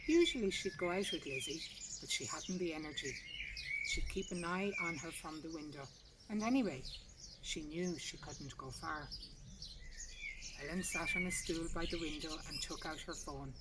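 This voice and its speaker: A woman's voice: low voice, animated, moderate pace, very noisy. A woman reading a literary short story aloud outdoors in a garden. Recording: distant mic, loud outdoor birdsong. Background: birdsong.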